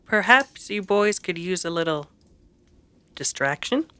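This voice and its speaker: Woman playing a coy, bright-voiced saloon girl. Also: fairly low pitch, animated, measured pace, clear, resonant tone, dry recording. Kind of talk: old-time western radio drama acting.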